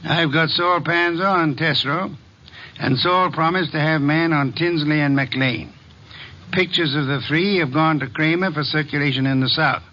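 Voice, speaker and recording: An actor playing a shrewd, imperious detective boss in an old radio drama, speaking formally and deliberately. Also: male voice, a little high, pushed and bright. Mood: brisk, commanding. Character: shrewd, imperious middle-aged male private detective boss. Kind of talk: 1950s radio detective acting. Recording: dull vintage radio recording.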